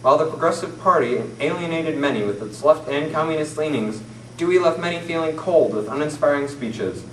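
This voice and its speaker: A teenage boy reading a mock 1940s newscast from paper at a classroom table, in a formal, steady manner. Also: on the high side, a little fast. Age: teens. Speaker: student newsreader. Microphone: far camera mic. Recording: roomy, distant camera audio with faint hiss.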